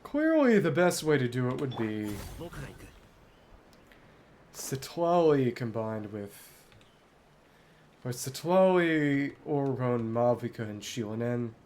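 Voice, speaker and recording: Slow-paced man musing over gameplay, lively pitch. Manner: musing aloud in short, halting remarks. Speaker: game streamer. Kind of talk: casual solo gameplay commentary.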